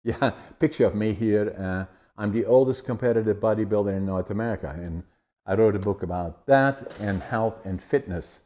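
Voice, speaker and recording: Older Dutch-accented man, dark voice. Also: fairly low pitch, moderate pace. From Netherlands. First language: Dutch dialect. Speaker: guest. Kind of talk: conversational interview.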